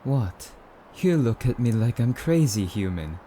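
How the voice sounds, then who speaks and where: A man playing a suave, courtly immortal vampire in an ASMR roleplay, speaking in formal, theatrical, rambling musings with drawn-out phrases. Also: medium pitch, big pitch swings, dark tone, a little hiss or hum. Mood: courtly, rhapsodic.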